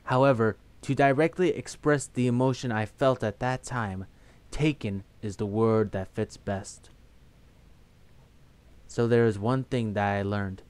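A young man hosting a game playthrough, chatting casually and reading the game's text aloud in a steady voice. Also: mid-range voice, measured pace, clear, resonant tone. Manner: reads text in a flat steady voice, breaks into laughter. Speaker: let's play host.

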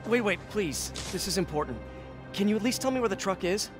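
An earnest young American superhero from a video game, his voice a little high. He is quippy and hesitant, half talking to himself, over clear dramatic music. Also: animated, a little fast. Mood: flustered, pleading. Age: young adult. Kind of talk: video game cutscene acting. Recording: dramatic music bed behind.

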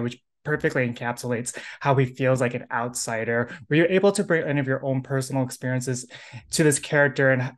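A man interviewer asking long, polished, prepared questions. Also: mid-range voice.

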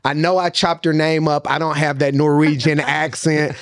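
A man in his thirties co-hosting a casual podcast interview, asking rambling questions full of fillers into a studio boom mic. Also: flat delivery, moderate pace, clear, resonant tone, no echo. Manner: laid-back, rambling questions with many fillers. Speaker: podcast co-host. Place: studio set with couch. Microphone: boom mic with headphones.

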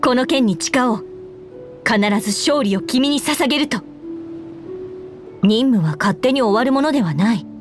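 A woman voicing an earnest, dutiful young knight commander in a Japanese game, speaking in a formal, measured way. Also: on the high side, animated, close to an everyday voice. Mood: resolute, earnest. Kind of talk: Japanese game character voice lines. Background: background music.

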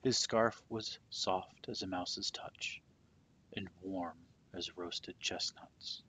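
A man reading a children's picture book aloud as storyteller, with deliberate, dramatic phrasing that softens at the ends of phrases. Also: sits low, fairly even intonation, whispered, poor audio.

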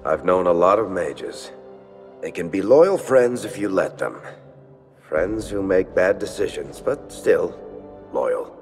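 Slow, low-voiced warrior. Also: male voice, expressive, darkish tone, full character voice. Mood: reflective. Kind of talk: video game cutscene acting. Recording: long hall reverb, background music.

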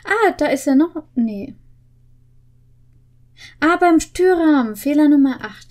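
A woman's voice: high voice, expressive, takes it slowly, darkish tone. A young woman talking casually and playfully as a video host, thinking aloud close to a desk microphone indoors. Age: young adult. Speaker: video host opening an advent calendar. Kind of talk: playful casual chat while solving puzzles. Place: indoor home studio with green screen. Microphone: desk microphone with pop filter, close.

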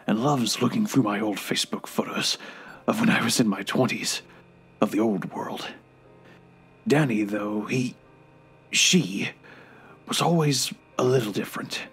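An actor playing a frail, bitter, remorseful old grandfather in an audio drama, halting and irritable, sometimes hushed and breathy. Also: on the low side, faint music behind, heavily acted. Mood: wistful, remorseful.

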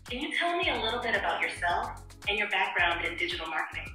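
A woman's voice: mid-range voice, sing-song, quick pace, pushed and bright. A female AI voice assistant speaking in a conversational coaching style, played through a phone speaker. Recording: echoey, distant phone speaker, music behind.

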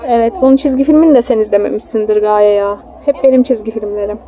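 A woman's voice: medium pitch, fast talker, dark tone. A young woman, a mother chatting casually with her child as she films on a phone outdoors. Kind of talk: casual chat with a child while filming. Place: outdoor children's theme park. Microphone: handheld phone, behind the camera. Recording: outdoor phone recording, ambient park noise. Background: faint outdoor ambience.

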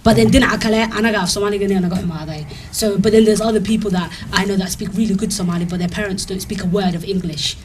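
A young woman on a studio panel, talking quickly and switching between English and Somali within sentences. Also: mid-range voice, fairly even intonation, slight background noise. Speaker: panel guest. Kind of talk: panel discussion. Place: TV studio, indoors. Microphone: handheld mic.

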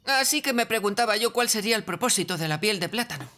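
A woman's voice: mid-range voice, expressive, fast, bright, forceful tone, a little hiss or hum. A woman voicing a naive young elf adventurer in a cartoon dub, speaking in a grand, old-fashioned way with a Castilian Spanish accent. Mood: earnest.

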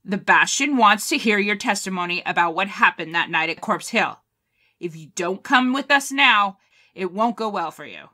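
A woman's voice: mid-range voice, lively intonation, moderate pace, pushed and bright. A woman playing an honourable military colonel in an audio drama, speaking with formal, firm authority. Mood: stern, commanding.

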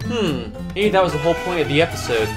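A man's voice: fairly high pitch, very animated, fast talker, music in the background. A young adult man hosting a countdown review video in scripted narration.

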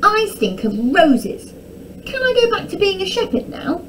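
A woman's voice: on the high side, sing-song, measured pace, warm tone, far from the mic, a little hiss or hum. A woman voicing a reluctant, indignant shepherd boy in a children's storybook, protesting plaintively. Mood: plaintive complaining.

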